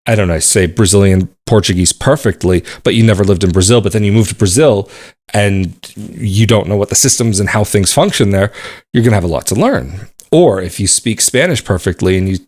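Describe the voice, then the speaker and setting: A man's voice: lowish voice, brisk, darkish tone. A man hosting his own podcast, talking casually and at length, often restarting and hedging his points. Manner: conversational, rambling, many restarts and qualifiers. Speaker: podcast host. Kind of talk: casual solo podcast monologue.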